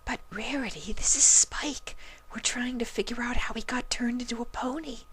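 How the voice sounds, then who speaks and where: A woman voicing a shy, gentle female cartoon pony in a soft, breathy, hesitant whisper. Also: fairly high pitch, normal speed, voice pushed up. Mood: timid.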